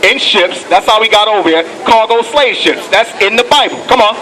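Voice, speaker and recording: A high-voiced man preaches on a busy city street, fiery and profane. He keeps pushing his listeners with "okay" and "come on". Traffic rumbles loudly around him. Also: a little fast, poor audio. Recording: loud street noise outdoors.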